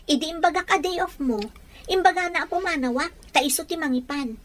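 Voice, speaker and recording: An actress playing an anxious, wary household helper who speaks earnestly and respectfully in a radio drama. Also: female voice, very high-pitched, a little fast. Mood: worried, confiding.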